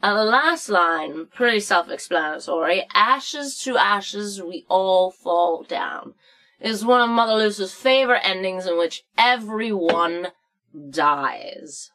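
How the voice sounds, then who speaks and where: Crotchety old female storyteller, played by a woman, tipsy and theatrical, slow rambling delivery for comic story time. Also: medium pitch, expressive, sharp tone. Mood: wry lecturing. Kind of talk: comic storybook narration and history lesson.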